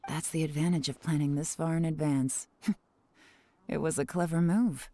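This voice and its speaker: Cool, low-voiced spy. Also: female voice. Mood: composed. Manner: calm, measured, knowing. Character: cool, shrewd female spy investigator, composed. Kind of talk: game voice acting.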